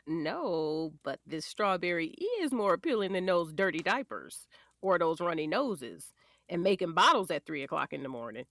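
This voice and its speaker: An actress as a sassy wife and mother in her forties in an audio drama. Her delivery swings widely in pitch, playful yet firm and doting. The sound is close and dry. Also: animated, unhurried, a bit bright, dry recording. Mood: teasing, firm. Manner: sassy, teasing. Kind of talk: naturalistic audio drama acting.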